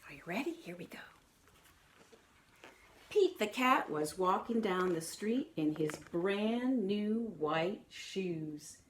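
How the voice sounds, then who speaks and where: A woman reading a picture book aloud to young children in an animated way, putting questions to her listeners and exclaiming along with the story. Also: mid-range voice, slow pace, slight background noise.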